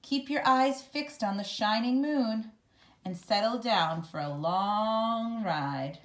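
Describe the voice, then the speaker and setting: A woman reading a children's picture book aloud in a measured, expressive storytelling manner. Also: on the high side. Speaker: storybook reader.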